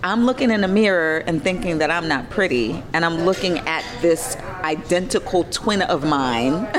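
A woman in her fifties with a slightly low voice speaks in a candid, conversational way. She sounds reflective and puts firm emphasis on her points. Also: lively intonation, moderate pace, a crowd in the background, rough recording. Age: 50s.

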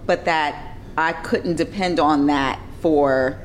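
Low-voiced woman in her fifties, reflective and emphatic. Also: takes it slowly, slight background noise. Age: 50s. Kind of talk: candid casual conversation.

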